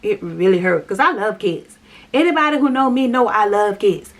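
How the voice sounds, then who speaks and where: A woman's voice: mid-range voice, lively intonation, moderate pace. Chatty woman telling her story. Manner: chatty, repetitive, addresses viewers directly. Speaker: vlogger. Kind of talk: personal storytelling vlog.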